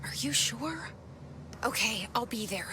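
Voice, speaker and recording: An actress playing a determined, guarded young woman in a video game, speaking in a hushed, intimate inner-monologue style. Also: on the high side, lively intonation, measured pace, background noise. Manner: hushed, intimate, often half-whispered.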